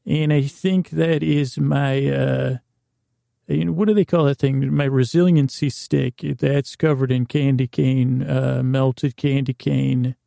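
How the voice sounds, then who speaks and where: Gravelly, mellow man rambling along. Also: mid-range voice, steady tone, no echo, clean studio sound. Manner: meandering, halting, trails off and restarts mid-sentence; voices imagined inner dialogue. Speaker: podcast host. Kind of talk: rambling comedic bedtime monologue.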